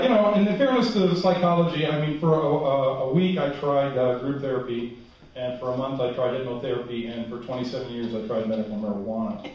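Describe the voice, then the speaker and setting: A man's voice: medium pitch, noisy background. An older man singing comic cabaret songs at the piano and joking with the audience between songs in a wry, self-mocking way. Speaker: cabaret singer and pianist. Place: church hall stage with a seated audience. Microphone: stand mic at the piano, filmed from the back of the room. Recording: echoey hall taped from far off, dull.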